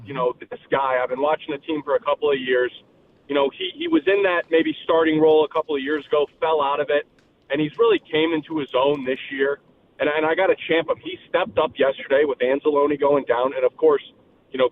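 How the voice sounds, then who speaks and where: A man's voice: fairly high pitch, fairly quick. A man calling in to a sports radio show, a brash fan who talks trash. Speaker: caller. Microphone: phone. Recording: tinny phone line with faint noise.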